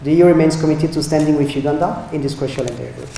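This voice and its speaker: A man delivering a formal prepared speech at a workshop in a measured, read-out manner. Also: on the high side, creaky. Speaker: EU representative giving a speech. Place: conference hall. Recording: echoey conference hall, faint noise.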